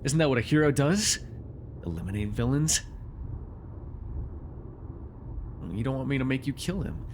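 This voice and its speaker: A man playing a controlling, vengeful supervillain in an immersive ASMR roleplay, taunting and giving orders in a deliberate, mocking way. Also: mid-range voice, animated, darkish tone, slight background noise, lightly acted. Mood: angry, scornful. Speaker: voice actor.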